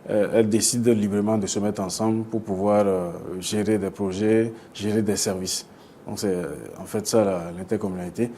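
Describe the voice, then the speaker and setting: Male expert guest, Beninese French accent, dark soft-edged tone, halting explanatory delivery, audible noise. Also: fairly low pitch, little pitch movement. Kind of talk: explanatory interview answers. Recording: clear background noise.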